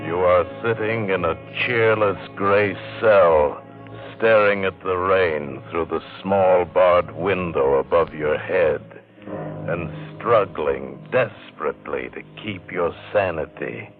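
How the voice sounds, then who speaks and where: A very deep-voiced man narrates slowly and ominously, like a 1950 radio thriller. The recording is thin and tinny with faint music behind. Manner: grave, ominous, measured. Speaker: radio announcer reading a teaser. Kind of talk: 1950 dramatic second-person radio narration.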